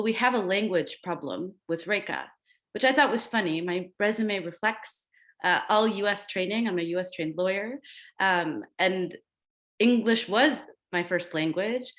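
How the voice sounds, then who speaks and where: A woman's voice: mid-range voice, fairly bright tone. A woman from the United States moderating a panel discussion in a reflective, thoughtful way.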